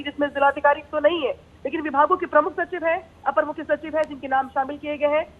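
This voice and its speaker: A woman news reporter giving a live report in an explanatory, halting way with repeated words. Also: sits high. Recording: tinny narrow phone line.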